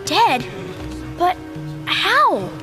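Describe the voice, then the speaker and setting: Worried follower in a bible radio drama, very high voice, slow, dismayed. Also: female voice, wildly swooping pitch, over music, full character voice. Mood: shocked, fearful. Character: anxious, dismayed female believer. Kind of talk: audio drama acting.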